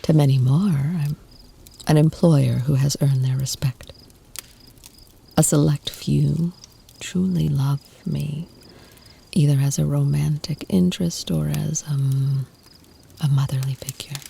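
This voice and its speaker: A woman playing an ancient, tender, protective vampire lover in an ASMR roleplay, speaking in a soft, breathy, soothing whisper close to the mic. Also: sits low, steady tone, exaggerated voice. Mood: tender, confiding. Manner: slow, soothing, intimate murmur with lingering pauses.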